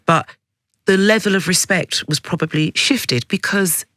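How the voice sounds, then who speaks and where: A man's voice: sits high, unhurried, bright, forceful tone, no room sound. A man hosting a radio interview in a studio, speaking in a direct, effusive, emphatic way. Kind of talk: broadcast interview chat.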